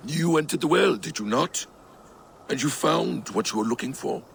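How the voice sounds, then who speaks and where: An actor playing a sly trickster god in a video game, probing and insinuating with feigned innocence. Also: male voice, on the high side, lively intonation, moderate pace, gravelly, background noise. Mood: probing, coy. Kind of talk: video game cutscene acting.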